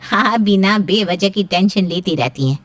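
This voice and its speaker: A woman's voice: medium pitch, moderate pace, dry recording, recorded from a distance, natural voice. A woman playing a reassuring, persuasive middle-aged aunt in story dialogue, emphatic and coaxing. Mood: concerned, reassuring.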